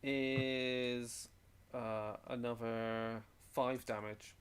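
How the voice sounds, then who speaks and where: A man's voice: mid-range voice, little pitch movement, extremely slow, a little hiss or hum. A man playing a tabletop roleplaying game, chatting casually and thinking aloud through his moves.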